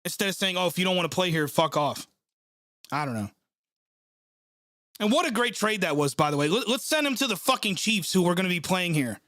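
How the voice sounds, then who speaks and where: A young man reacts live to a game for his stream, his nose stuffed up from a cold. His voice sits fairly high, and he vents with sarcasm and frequent swearing. The sound is dry and close. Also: very animated, a little fast, pauses often, a bit bright, dry recording. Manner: frustrated, sarcastic, swears often. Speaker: sports channel host reacting live. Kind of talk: live play-by-play reaction stream, reading chat.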